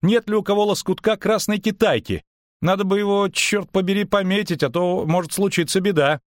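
A man's voice: sits high, brisk, dry recording, studio quality, voice pushed up, natural voice. A man voicing an amazed, theatrical, flippant male bard who speaks in exclamations in a fantasy audiobook. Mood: astonished, flippant. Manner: exclamatory, astonished. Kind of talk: audiobook character acting.

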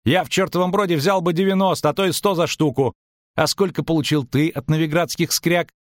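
A man's voice: on the high side, steady tone, brisk, dry recording, clean studio sound, pitched above the performer's own voice, lightly acted. A man voicing an indignant, scolding male halfling merchant in a fantasy audiobook. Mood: furious, blustering. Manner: loud, scolding, exclamatory. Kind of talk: audiobook character acting.

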